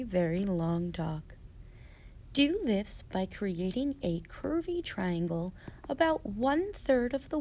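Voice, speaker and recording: A woman's voice: medium pitch, warm tone. A woman giving scripted, step-by-step craft instructions for children in a patient, playful way.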